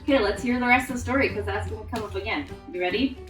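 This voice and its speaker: A woman hosting storytime, reading picture books aloud to children in an expressive, encouraging way. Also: medium pitch, very fast, echoey room, recorded from a distance, soft background music. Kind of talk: animated read-aloud to children.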